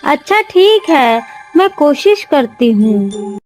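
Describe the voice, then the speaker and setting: High-pitched plaintive young princess played by a woman. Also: sing-song, takes it slowly, a little mellow, faint music behind, heavily acted. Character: young beautiful princess wife, plaintive and scheming. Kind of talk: Hindi animated moral story acting.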